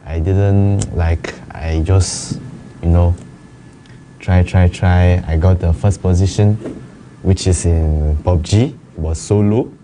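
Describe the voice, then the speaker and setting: A young man, a native Chinese speaker, answering interview questions hesitantly and softly. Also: low voice, fairly even intonation, slow, slight background noise. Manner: hesitant, soft-spoken, laughs shyly. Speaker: esports player guest.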